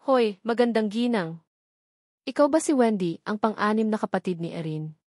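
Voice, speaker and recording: A woman's voice: medium pitch, moderate pace, a little mellow, dry recording, lightly acted. Woman voicing a cheeky, flirtatious male warrior, dry sound. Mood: admiring. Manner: teasing, flirtatious.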